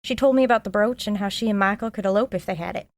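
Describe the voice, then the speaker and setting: A woman's voice: medium pitch, rapid-fire, no echo, natural voice. An actress playing an eager, chatty sidekick to a woman sleuth, earnest and inquisitive, in an audio drama. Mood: earnest, confiding. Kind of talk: audio drama acting, dialogue.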